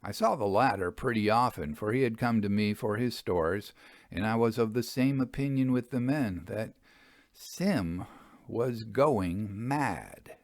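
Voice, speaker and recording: Unhurried, expressive male story reader. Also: lowish voice, dry recording, studio quality. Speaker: audiobook reader. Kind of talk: scripted narration of a first-person Victorian railway ghost story.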